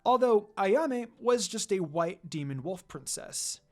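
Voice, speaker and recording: An amateur narrator reading a fan fiction story aloud in an expressive storytelling style. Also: mid-range voice, takes it slowly.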